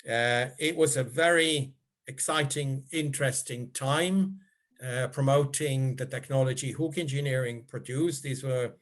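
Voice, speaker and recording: Slow, measured older man. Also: mid-range voice, steady tone. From Switzerland. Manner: measured, polite, with frequent filler pauses. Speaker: guest speaker. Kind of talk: club presentation over a video call. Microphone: video call.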